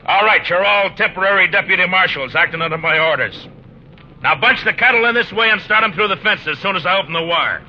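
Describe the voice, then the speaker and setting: Stern frontier marshal, noisy old radio. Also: male voice, high-pitched, quick pace, sharp tone. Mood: commanding. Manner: commanding, terse. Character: stern, weary, dutiful Western frontier marshal. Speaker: radio drama actor. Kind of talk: 1950s Western radio acting. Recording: noisy muffled 1950s radio recording.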